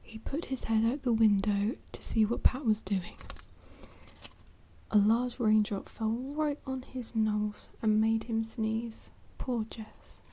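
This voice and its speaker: A woman reading a children's storybook aloud in a soft, breathy whisper, with hushed asides to the listener. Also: on the high side, steady tone, slow pace. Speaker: storybook reader.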